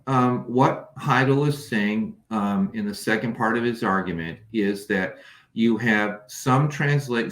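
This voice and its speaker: A man who is a native English speaker, explaining Hebrew scholarship as an interview guest in a careful, precise, measured way. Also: on the low side. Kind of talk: scholarly explanation, sometimes reading aloud. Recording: echoey, roomy sound.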